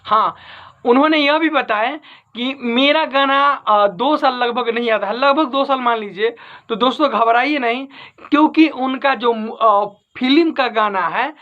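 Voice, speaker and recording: A man's voice: very high voice, unhurried, muffled recording. A man giving informal entertainment news commentary as an online host, repeating and rephrasing his points for emphasis. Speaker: YouTube host.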